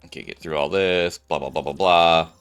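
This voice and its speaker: A man's voice: low voice, steady tone, moderate pace, fairly bright tone, clear, resonant tone, soft background music. A man streaming a video game, giving casual, rambling commentary as he reacts aloud.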